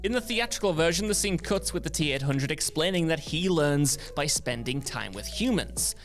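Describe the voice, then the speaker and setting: A man's voice: fairly high pitch, lively intonation, moderate pace. A man reading a scripted entertainment voice-over as the narrator of a list video. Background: music.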